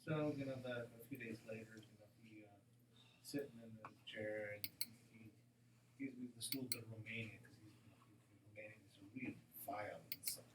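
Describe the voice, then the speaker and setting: A middle-aged man, a teacher chatting casually in a classroom in a halting, rambling way. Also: lowish voice, steady tone. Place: classroom, far from the mic. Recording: roomy, distant, noisy classroom recording.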